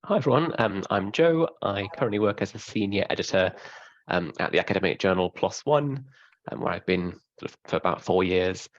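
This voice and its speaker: The informal, hedging man in his thirties on a work video call, gravelly voice coming through slightly dull. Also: low-pitched, animated, fairly quick. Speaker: journal editor, panelist. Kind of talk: casual self-introduction. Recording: dull, muffled call audio.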